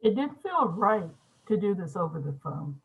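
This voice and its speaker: A woman's voice: fairly low pitch, sing-song, moderate pace, creaky, breathy edge, full character voice. Phone-like woman playing an earnest visiting journalist. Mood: polite, earnest. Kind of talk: screenplay table read. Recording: thin, phone-like band-limited sound.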